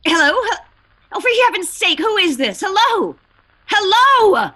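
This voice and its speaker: A woman's voice: very high voice, very animated, unhurried, sharp tone, full character voice. A woman acting a nervous, demanding bedridden woman in a suspense radio play, fretful and haughty, given to exclamations. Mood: agitated.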